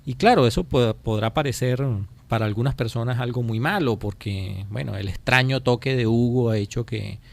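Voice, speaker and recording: A Venezuelan man giving political commentary as a radio interview guest, speaking emphatically and deliberately and repeating phrases to drive points home. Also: medium pitch, vocal fry. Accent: Venezuelan Spanish. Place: radio studio.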